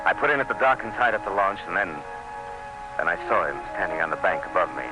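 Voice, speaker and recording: A man's voice: sits low, animated, fast, low-quality recording. A man acting as an anxious, fascinated colonial commissioner in a radio drama, with grave, suspenseful and literary delivery. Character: anxious, fascinated commissioner recounting a jungle ordeal. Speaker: actor and narrator in a radio drama. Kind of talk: dramatic first-person radio narration and dialogue. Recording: radio drama with music behind.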